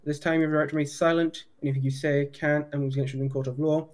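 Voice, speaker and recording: Brief: male roleplay detective, slightly high voice, halting procedural patter. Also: flat delivery, darkish tone. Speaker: roleplay player as police detective. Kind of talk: improvised procedural roleplay talk.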